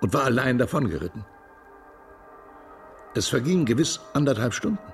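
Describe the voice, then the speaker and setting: A man's voice: mid-range voice, big pitch swings, a little fast, soft background music. An actor playing a Russian émigré ex-cavalryman who reminisces animatedly in a German radio drama. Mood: grave recollection.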